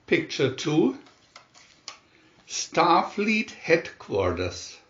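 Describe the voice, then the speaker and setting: Slow, echoey male storybook narrator. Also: on the high side, huge pitch swings, fairly bright tone. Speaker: narrator reading picture-story booklet. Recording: dull, echoey room recording.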